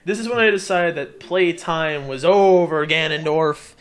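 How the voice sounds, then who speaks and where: A man's voice: on the high side, a little slow. A man doing casual, joking game commentary as the host of a let's play. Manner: joking, bantering. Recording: game music behind the voice. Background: video game music.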